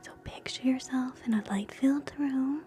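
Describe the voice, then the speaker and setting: A woman hosting live ASMR in a soft, breathy whisper, gentle and soothing, moving between guided relaxation and quiet chat. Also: high-pitched, moderate pace, soft background music. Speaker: ASMR streamer, host.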